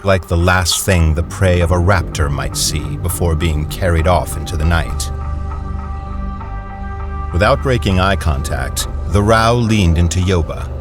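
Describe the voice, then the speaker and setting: A man with a very low voice reads story narration in a steady, descriptive manner. Music plays clearly under him. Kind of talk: scripted narration.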